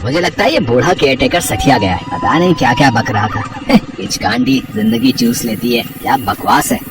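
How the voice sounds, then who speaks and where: A man's voice: fairly high pitch, lively intonation, brisk, distant mic, background noise, close to an everyday voice. A man playing a carefree young college student, mocking and slangy, in a horror audio story. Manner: joking, dismissive, slangy.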